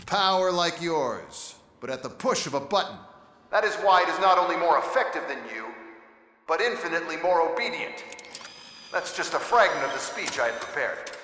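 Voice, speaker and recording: Smug, higher-pitched corporate executive. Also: male voice, big pitch swings, moderate pace, bright, forceful tone, full character voice. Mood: smug, gloating. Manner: condescending, sarcastic, grandstanding. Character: smug, scornful corporate robotics executive, man. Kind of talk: video game cutscene acting.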